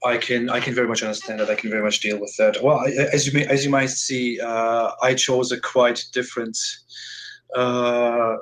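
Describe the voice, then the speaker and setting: A man's voice: medium pitch, little pitch movement, normal speed, a bit bright, slight background noise, low-quality recording. A man hosting an audio call interview, speaking hesitantly with frequent restarts. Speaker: host.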